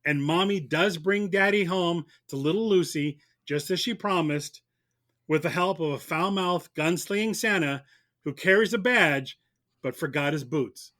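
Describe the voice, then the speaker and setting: A man's voice: fairly high pitch, measured pace, a bit bright. A man acting as a lawyer, delivering a scripted, mock-solemn closing argument in a declamatory way. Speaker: mock-trial lawyer on a podcast. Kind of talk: theatrical scripted courtroom closing speech.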